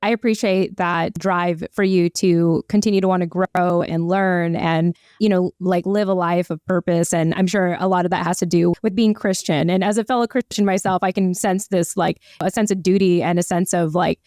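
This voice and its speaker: Female host: smooth, clear mid voice, warm and encouraging, chatty with fillers, recorded dry. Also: lowish voice, fairly even intonation, normal speed, few pauses, no room sound. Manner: warm, affirming, many fillers. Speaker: podcast host. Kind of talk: casual interview chat.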